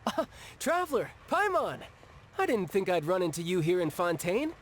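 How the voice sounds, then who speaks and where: A man's voice: high voice, very animated, normal speed, a little hiss or hum. A man playing a confident, breezy young debt collector in a video game. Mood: pleased, breezy.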